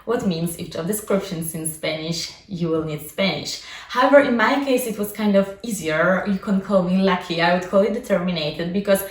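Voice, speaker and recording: A woman's voice: fairly low pitch, moderate pace. A young adult woman whose first language is Polish, talking casually about her own life as a vlogger and coach, with many fillers like "like" and "you know". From Poland. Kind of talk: casual personal vlog. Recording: echoey room, mic at a distance.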